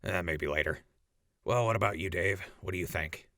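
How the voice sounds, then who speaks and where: A wry, remorseful wasteland survivor played by a man in an audio drama. His voice is low yet forceful and bright, recorded dry and close, with casual, plain-spoken delivery. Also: normal speed, creaky, no room sound, heavily acted. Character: guilt-ridden, sardonic, plain-spoken male wasteland survivor. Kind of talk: post-apocalyptic audio drama acting.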